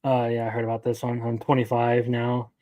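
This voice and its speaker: A man's voice: medium pitch, monotone, brisk, mellow. A man in his twenties giving casual live-stream commentary as an anime news host, opinionated and rambling, answering his chat as he goes. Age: 20s.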